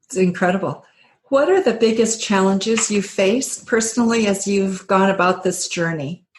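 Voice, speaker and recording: A woman's voice: mid-range voice, normal speed, a little hiss or hum. A woman hosting a podcast, asking short, encouraging interview questions. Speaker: podcast host.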